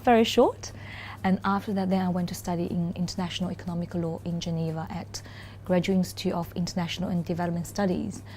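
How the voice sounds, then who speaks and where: A Mandarin-native woman from China speaks English with a Chinese accent in a warm, mellow, lowish voice. She answers reflectively, pausing with "um" and restarting her sentences. A faint noise sits under the recording. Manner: thoughtful, many "um" pauses and restarts. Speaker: corporate lawyer, interview guest. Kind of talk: relaxed interview conversation.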